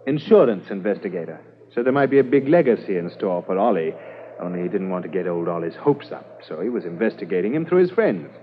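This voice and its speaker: Folksy small-town man, low voice. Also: very animated. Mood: folksy, chatty. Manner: folksy, conversational, drawn-out phrases. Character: folksy, talkative small-town male neighbor. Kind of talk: 1950s radio drama acting. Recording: tinny, noisy old radio transcription.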